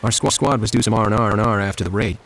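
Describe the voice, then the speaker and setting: Low-voiced weary young American Marine. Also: male voice, fairly even intonation, fast talker, gravelly, a bit bright. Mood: grim, resolute. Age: young adult. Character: weary, earnest young American WWII Marine writing home. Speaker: narrator. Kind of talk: scripted letter narration. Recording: music playing behind the voice. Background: music.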